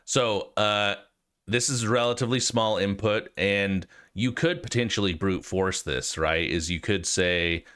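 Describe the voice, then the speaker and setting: A man's voice: fairly low pitch, unhurried, a bit bright, clean tone. An American man with a cold, explaining things casually and informally as the host of a tutorial video, hesitating as he thinks aloud. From United States. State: has a cold. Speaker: host of a programming walkthrough video.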